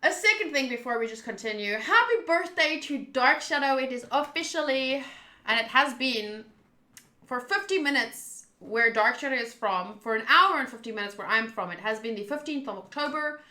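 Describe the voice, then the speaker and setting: A young woman chatting casually with viewers during a live game playthrough, animated and jumping between loud outbursts and mumbled asides. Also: sits high, normal speed, roomy sound. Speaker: streamer.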